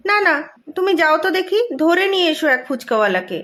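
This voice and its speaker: A woman's voice: very high-pitched, big pitch swings, fast talker, a bit bright, exaggerated voice. A woman voicing a bossy cartoon mother and exasperated wife, scolding, exclaiming and ordering everyone about. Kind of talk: cartoon voice acting.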